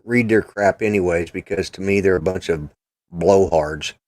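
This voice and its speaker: Older male host, blunt and rambling, low voice, dry close sound. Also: normal speed, a little mellow, dry recording. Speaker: podcast host. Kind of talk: casual political chat.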